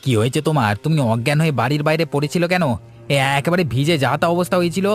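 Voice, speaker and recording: A man's voice: a little high, quick pace, soft background music, close to an everyday voice. A man playing a concerned, protective village husband in a cartoon ghost story, earnest and dramatic. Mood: worried.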